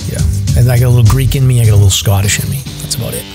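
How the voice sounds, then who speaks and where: A man's voice: medium pitch, steady tone, racing, creaky, loud music behind the voice. A middle-aged man, hosting a podcast in casual, rambling chat. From Massachusetts. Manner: chatty, rambling with fillers. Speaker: podcast host.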